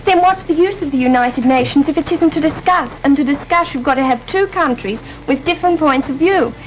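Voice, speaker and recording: A woman's voice: high voice, brisk, low-quality recording. A teenage girl, a champion student debater who presses her points with pointed questions. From Australia. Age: teens. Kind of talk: panel debate.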